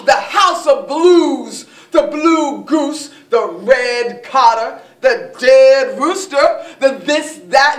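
Casting: actress as a fed-up, hard-drinking club woman, high voice, folksy theatrical complaining, recorded at a distance in a reverberant room. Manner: folksy, colloquial, loud complaining with sighs. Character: exasperated, weary, hard-drinking blues-club woman ranting. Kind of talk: theatrical dramatic stage monologue. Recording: echoey room, distant mic.

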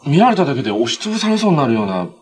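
A young man acting in a TV drama as a cheerful, selfless hero, speaking naturally in a gentle, earnest way. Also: medium pitch, sing-song, rapid-fire, slightly rough. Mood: serious, earnest. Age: young adult. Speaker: actor in a superhero TV series. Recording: background noise, some reverberant scenes.

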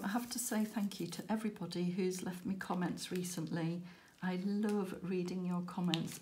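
A woman hosting a crafting vlog, croaky and tired after a late night, rambling and self-deprecating with frequent laughs. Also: on the low side, a little mellow, slight background noise. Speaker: crafting channel host. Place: indoors at a crafting table.

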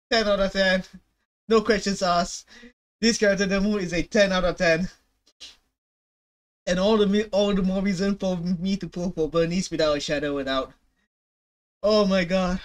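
A man's voice: high-pitched, steady tone, moderate pace, pauses often. A young man giving casual, emphatic and slangy reaction commentary as a video host. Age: young adult. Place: small room at home.

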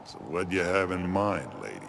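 Big gruff demon fighter for a game, super low n gravelly, deadpan. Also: male voice, heavily acted. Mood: gruff, unimpressed. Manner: deadpan, unhurried. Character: gruff, world-weary male demon brawler, big and deadpan. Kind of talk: video game character dialogue. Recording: game audio with background noise.

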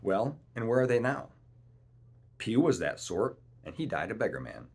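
A scheming, menacing pirate ship's cook as a male narrator plays him, husky and swinging widely in pitch. He talks in boastful, rustic seafaring turns, wheedling and bossing like a storybook villain. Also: very animated, normal speed, heavily acted. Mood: scornful.

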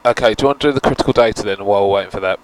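A man's voice: lowish voice, racing, rough recording. A young British man flying a home cockpit simulator, chatting casually and reading out flight figures on a headset. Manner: relaxed, chatty, reads out figures. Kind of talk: casual cockpit chat and radio calls.

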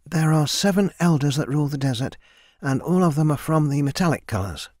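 A man's voice: on the high side, expressive, fairly quick, a little mellow, dry recording, studio quality, pitched above the performer's own voice. A man voicing an earnest, slightly impatient young woman reciting lessons.

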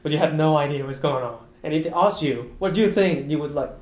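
A young man, an international student, speaking English thoughtfully in an interview with soft laughs. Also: fast talker. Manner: thoughtful, gestures, laughs softly. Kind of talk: casual interview. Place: college room by windows. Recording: echoey room with faint rumble.

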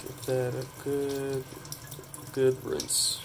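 A man with a stuffy, congested voice talks through a home gardening task at a kitchen sink. His delivery is flat and even, halting often to restart words. A faint running tap hisses behind him. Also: medium pitch, vocal fry. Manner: relaxed, halting, with restarts and self-corrections. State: stuffy from a cold. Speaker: hydroponics gardening channel host. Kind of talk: casual how-to demonstration. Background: running tap water.

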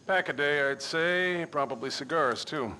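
An actor playing a jaded, wisecracking senior coroner in a video game cutscene, dry and clinical in manner. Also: male voice, fairly high pitch, moderate pace, pushed and bright, slight background noise. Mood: sardonic.

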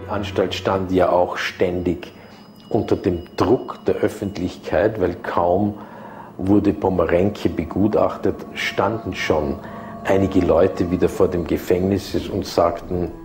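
Very low, slow-speaking man. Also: steady tone, darkish tone, faint music behind. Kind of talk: spontaneous interview talk.

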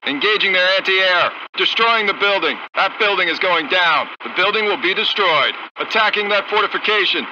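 An actor voicing a gung-ho American tank crew commander in a strategy game, terse and brisk, through a military radio filter. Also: male voice, sits high, sharp tone. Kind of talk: clipped military unit voice lines in a strategy game. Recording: dull radio sound with faint noise.

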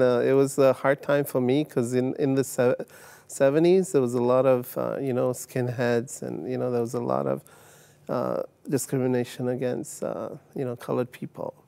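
A man from Uganda in his fifties, telling his life story as a guest on a TV studio set, halting with many fillers and restarts. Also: mid-range voice, creaky, dark tone, smooth, no room sound. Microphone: lapel mic.

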